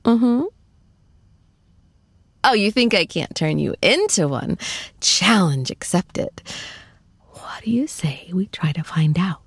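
A woman playing an affectionate, teasing girlfriend in an intimate ASMR roleplay, cooing tenderly and often slipping into soft, breathy whispers. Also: lowish voice, animated, no room sound, heavily acted. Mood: playful, adoring. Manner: cooing, playful, slow and tender. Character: affectionate, teasing young girlfriend comforting her shy boyfriend.

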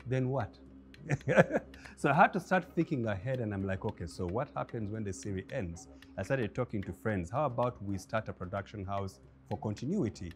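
A man in his thirties with a Ugandan English accent, a film producer talking as a guest in a studio interview, lively and acting out the voices he quotes. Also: fairly low pitch, moderate pace. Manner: animated storyteller, acts out quoted voices with laughs. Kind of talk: interview conversation. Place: bright indoor studio set with armchairs.

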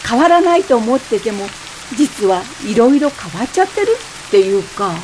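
High-voiced, frank, fed-up wife. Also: female voice, big pitch swings, normal speed, darkish tone, a little hiss or hum, poor audio. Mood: reflective. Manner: conversational, wry, grumbling. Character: frank married woman fed up with her husband. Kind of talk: radio drama dialogue. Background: rain.

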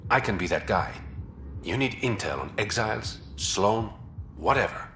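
An actor playing a roguish, smooth-talking young smuggler and spy in a video game, wry, teasing and self-assured. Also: male voice, on the low side, expressive, normal speed, fairly bright tone, reverberant, a little hiss or hum. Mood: confiding. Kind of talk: video game character dialogue.

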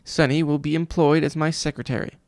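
A man puts on a vain, cruel school vice principal for a storybook. He talks down to children in a mocking, self-important way. The voice has a gravelly creak and a dry, close sound. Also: on the high side, little pitch movement, fairly quick, darkish tone, no echo, lightly acted. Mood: pompous, scolding. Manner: condescending, mocking.

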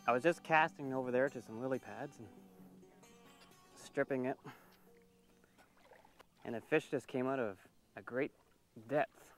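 A man's voice: mid-range voice, unhurried, pauses often, soft background music. A man chatting casually from a boat on a lake, telling how a fish struck his lure. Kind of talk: casual chat while fishing.

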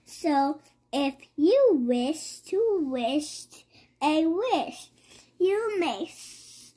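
Child, high-pitched voice, very slow halting reading, picture book rhymes, repeated words. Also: sing-song, mellow. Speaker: young reader. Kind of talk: halting read-aloud of a rhyming picture book.

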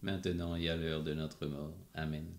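A man with a very deep voice recites rosary responses in an even, measured way. The sound carries the echo of a reverberant room. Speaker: prayer responder. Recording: echoey room.

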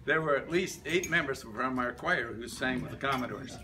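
An older man reads a prepared reminiscence aloud to a group, halting between short phrases. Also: mid-range voice. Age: older, about 70s to 80s. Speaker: club member giving a life-story speech. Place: medium-sized indoor meeting room. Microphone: camera a few metres away. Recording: distant room mic with background noise.

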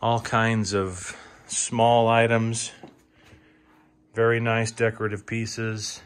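Male auctioneer, mid-pitched voice, informal house tour delivery, halting, leans on repetition for emphasis. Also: fairly bright tone. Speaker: auctioneer giving a walk-through tour. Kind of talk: informal narrated walk-through of sale items. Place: indoors, moving through rooms of a large house. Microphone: handheld phone or camera, held by the speaker.

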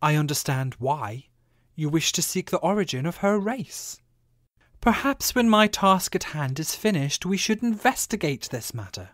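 A man voicing a wise, solemn fantasy character in a formal, measured manner. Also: on the high side, sing-song, fairly bright tone, dry recording, clean studio sound, pitched above the performer's own voice.

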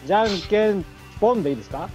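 A young man speaks quickly in casual banter as the host of a comedy stream. His voice swings widely in pitch and sits high. It comes over a video call with faint music behind. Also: animated, a little mellow.

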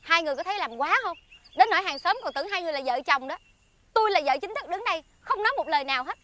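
A woman playing an indignant, suspicious village wife in a theatrical folk comedy, sharp and accusing. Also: extremely high pitch, animated, racing, heavily acted.

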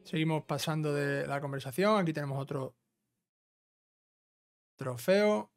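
High-pitched man guiding through a video game. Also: unhurried. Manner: instructional, often adding short check-in tags. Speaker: gaming YouTuber giving a trophy guide. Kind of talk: casual video game walkthrough commentary. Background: background music.

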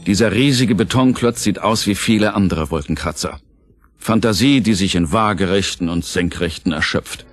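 A male narrator reads a scripted audio drama. His voice sits on the low side, and music plays clearly underneath.

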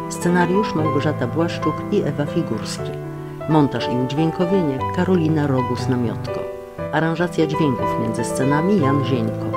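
A man reading scripted closing credits as the announcer of an audio drama. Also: mid-range voice. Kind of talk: scripted narration. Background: loud guitar music.

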